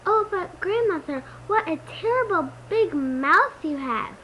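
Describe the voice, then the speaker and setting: A very high, swooping little girl's voice acted by a woman, astonished and wide-eyed. She draws out her wonder like a storybook heroine, over faint background noise. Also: expressive, fairly bright tone, heavily acted, poor audio. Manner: exclaiming in slow, drawn-out wonder. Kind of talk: storybook read-aloud.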